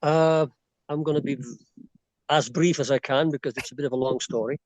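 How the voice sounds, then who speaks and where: Guest: Belgian-born man, Irish-tinged accent, on the high side, dry sound, rambles with fillers. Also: steady tone, brisk, no echo, poor audio. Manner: rambling, frequent fillers and restarts. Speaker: expat guest. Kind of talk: casual interview chat. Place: rural property in Bolivia.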